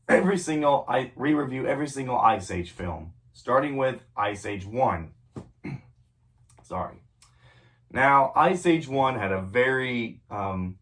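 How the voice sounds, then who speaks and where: A young adult man with a cold, reviewing movies in a casual, rambling way, full of ums and self-corrections. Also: medium pitch. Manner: rambling, frequent ums and self-corrections, clears throat often. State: sick with a cold, voice a bit off. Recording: voice a bit distant from the mic.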